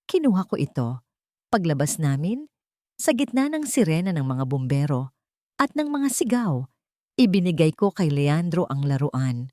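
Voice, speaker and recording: A woman's voice: deep voice, sing-song, normal speed, warm tone, dry recording, studio quality. A woman reading a dramatic first-person story as a scripted audiobook narration.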